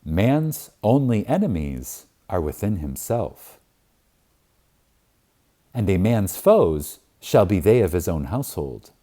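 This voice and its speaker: A man with a low, mellow voice reads a spiritual book aloud for an audiobook. He takes his time, leaning on words with reverent emphasis and long pauses. His intonation rises and falls widely. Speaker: audiobook reader. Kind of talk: reading a spiritual self-help book aloud.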